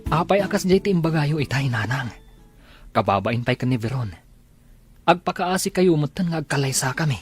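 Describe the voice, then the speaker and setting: A man's voice: fairly high pitch, lively intonation, normal speed, soft background music, natural voice. A man acting an easygoing, respectful young countryman in a radio-style drama, with an Ilocano accent. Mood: pleading.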